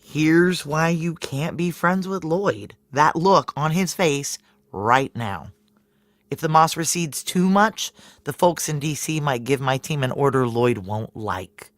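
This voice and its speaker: Weary American security chief, deadpan noir audio-drama narrator, dry intimate sound. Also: male voice, a little high, takes it slowly, dry recording. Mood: grim, matter-of-fact. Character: jaded, no-nonsense security chief at secret base.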